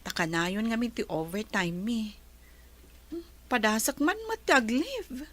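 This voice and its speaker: A woman's voice: a little high, big pitch swings, slow pace, fairly bright tone, exaggerated voice. A woman playing a weary, overworked employee confiding in a relative in a radio drama. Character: overworked, weary female office worker.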